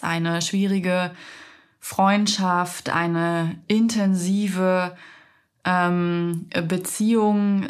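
A young woman talks through a reflective podcast monologue at an unhurried, slow pace. Her voice sits in a middle pitch and sounds a little stuffy and nasal from a cold. She pauses often, gathering her thoughts with soft fillers. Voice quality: slightly nasal. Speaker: podcast host.

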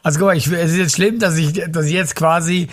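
A man co-hosting a casual podcast chat, hoarse and raspy, with hesitant fillers and frequent laughs. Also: a little high, fairly even intonation, fast. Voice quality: raspy, gravelly. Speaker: podcast co-host.

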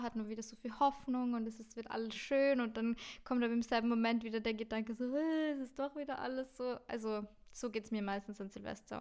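A young woman co-hosting a podcast, with a fairly high voice. She chats in an easy, rambling way, full of little fillers and English slang. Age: 20s. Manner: chatty, many fillers, mixes in English words. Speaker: podcast co-host. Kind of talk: casual chat. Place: Vienna.

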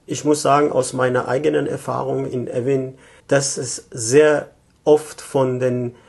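Iranian-born guy in his 50s, persian first language, gravelly voice, lots of ums and restarts. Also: medium pitch, little pitch movement, a little slow, a little mellow. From Iran. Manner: thoughtful, hesitant with frequent fillers and restarts. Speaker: interview guest, economic consultant. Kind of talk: interview answers.

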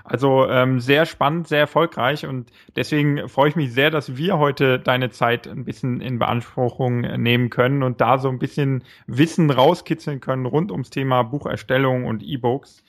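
A man's voice: mid-range voice, fairly even intonation, normal speed, hardly any pauses. A man hosting his podcast while he has a cold, welcoming listeners cheerfully and asking questions with a few fillers. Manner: upbeat, welcoming, hesitant with fillers when asking. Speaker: podcast host. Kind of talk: podcast intro and interview.

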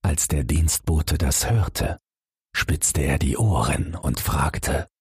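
A man narrating a fairy tale at bedtime, in a calm, soothing reading style. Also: rumbling low, slow pace, breathy edge, no room sound, clean studio sound. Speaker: audiobook narrator.

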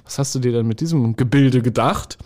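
A man's voice: medium pitch, a little fast, a little mellow. A man hosting a casual travel podcast, chatty and enthusiastic, with frequent fillers. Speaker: podcast host.

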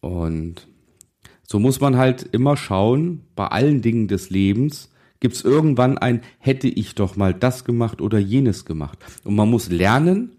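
A man's voice: medium pitch, expressive, a little slow, dark tone. A man in his forties giving a motivational monologue as a financial advisor, addressing the listener directly in an insistent, exhorting manner with rhetorical questions. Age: 40s. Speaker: financial advisor and podcast host.

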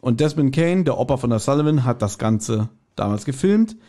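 A man's voice: medium pitch, expressive, normal speed, dark tone. A man co-hosting a podcast, recapping an audio drama in a casual, colloquial way. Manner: colloquial, acts out quotes animatedly. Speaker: podcast co-host.

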